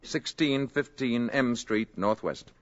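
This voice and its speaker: An actor playing a calm, gentle, learned visitor from space in a 1950s radio drama, speaking in a measured, polite and precise way. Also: male voice, medium pitch. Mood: calm, assured. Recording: muffled 1950s radio broadcast recording.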